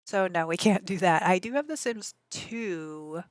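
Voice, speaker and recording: Woman, slightly raspy, allergies, lowish voice, casual rambling stream chat, dry sound. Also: expressive, measured pace, no room sound. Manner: rambling, chatty, answers chat messages aloud. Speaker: game streamer.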